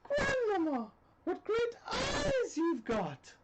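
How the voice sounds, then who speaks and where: A woman's voice: very high-pitched, huge pitch swings, slow pace, heavy background noise, voice pushed up, full character voice. A woman voicing an innocent, curious little country girl from a fairy tale, in a sing-song, wide-eyed manner. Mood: amazed.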